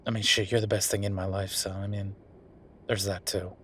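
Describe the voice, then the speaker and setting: A tired werewolf boyfriend acted out by a man speaks in a lowish voice over noticeable background ambience. He is frustrated yet affectionate, talking in a natural, intimate, world-weary way, as in a roleplay audio drama. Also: little pitch movement, brisk, bright, forceful tone. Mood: affectionate, teasing. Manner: conversational, sighing, world-weary. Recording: clear background noise.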